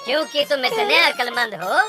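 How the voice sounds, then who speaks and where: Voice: sits high, moderate pace, lightly acted. A performer voicing a pompous king in a cartoon story, theatrical and questioning. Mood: commanding. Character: village son, pompous king and scheming minister. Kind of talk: exaggerated Hindi cartoon story acting. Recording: music and jingles behind the voice.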